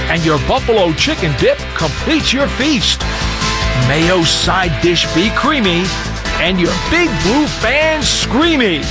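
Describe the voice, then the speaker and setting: A man reading scripted radio commercials as an announcer over a music bed. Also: extremely high pitch. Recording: loud music under the voice. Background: loud music bed.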